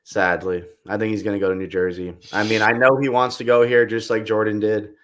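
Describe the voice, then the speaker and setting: A young man with a loud voice, talking casually as a podcast guest, animated and quick in bursts. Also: on the low side, a little hiss or hum. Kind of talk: casual chat.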